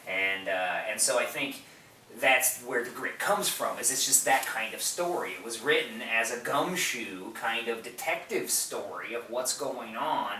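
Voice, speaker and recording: A man's voice: mid-range voice, animated, a little slow, sharp tone. A man co-hosting a casual film discussion, talking in a rambling, emphatic way. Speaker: podcast co-host. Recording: roomy, distant mic, faint noise.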